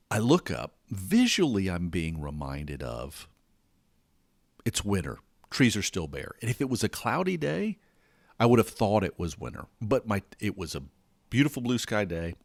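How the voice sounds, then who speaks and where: A man's voice: on the low side, no room sound, clean studio sound. A man hosting a podcast, talking casually as he gets over a cold. He rambles and stumbles over his words, often repeating himself. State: recovering from a cold. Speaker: podcast host. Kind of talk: casual solo podcast talk.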